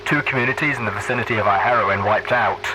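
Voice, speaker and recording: A man's voice: on the low side, fast talker, background noise, close to an everyday voice. A man playing an earnest pony radio DJ, preaching to wasteland listeners in a folksy, solemn way, like a post-apocalyptic broadcast. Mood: grave, cautioning.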